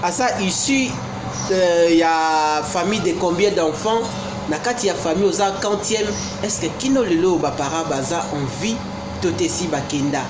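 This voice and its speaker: Guy asking questions, kinda high voice, echoey room hiss behind. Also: moderate pace, over music. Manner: lively, asks in mixed Lingala and French. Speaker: interviewer. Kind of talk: casual interview. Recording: echoey room, clear noise.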